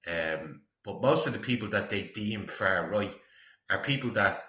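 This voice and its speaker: A middle-aged man with a low, forceful voice comments casually as a reaction host. He sounds disapproving and opinionated, and the recording is roomy and echoey. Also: animated, unhurried.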